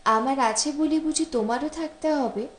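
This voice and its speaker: A courteous married man in an audiobook tale, read by a woman. He banters and politely demurs as a guest does, in a highish voice with strong room echo. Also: normal speed, close to an everyday voice. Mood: mild protest. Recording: roomy, echoing voice recording.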